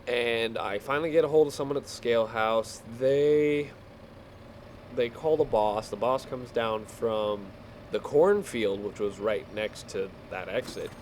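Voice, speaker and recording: A man casually telling a rambling story while playing a game, halting and repeating words as he goes. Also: medium pitch, darkish tone, slight background noise. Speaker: video game player telling stories.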